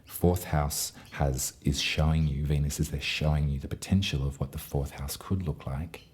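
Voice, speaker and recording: Very deep-voiced man reading tarot. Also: fairly even intonation, moderate pace, a little mellow, slight background noise. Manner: chatty, affectionate, talks straight to the listener. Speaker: tarot reader.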